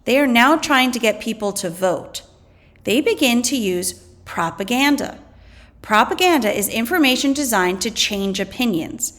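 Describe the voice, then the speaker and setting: A woman reads lesson material aloud as a teacher would. Her voice sits fairly high and moves up and down with lively expression. The delivery is scripted and explanatory, with key phrases acted out for the listener. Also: moderate pace. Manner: expressive, acts out quoted slogans. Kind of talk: educational read-aloud of scripted text.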